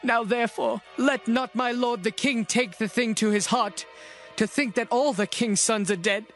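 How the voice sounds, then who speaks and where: A high formal reassuring court adviser, bible audio drama, faint music. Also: male voice, steady tone, moderate pace, a bit bright. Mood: earnest reassurance. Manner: formal, declamatory. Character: king's kinsman, earnest, reassuring royal adviser.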